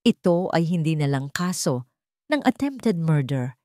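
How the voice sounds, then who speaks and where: A woman narrating a scripted drama story, phrasing it dramatically and deliberately in short pieces. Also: sits low, big pitch swings, mellow, no echo, clean studio sound.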